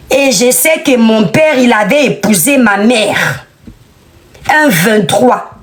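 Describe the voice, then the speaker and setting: Woman ranting, high voice, hammers her points over and over. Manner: emphatic, scolding, repeats phrases. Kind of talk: outspoken commentary monologue.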